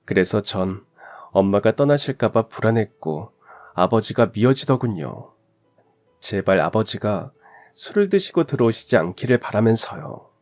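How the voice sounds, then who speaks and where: A man's voice: fairly low pitch, big pitch swings, moderate pace, darkish tone, faint music behind. A man reading a scripted first-person story in the style of a radio drama. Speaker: narrator of a story channel.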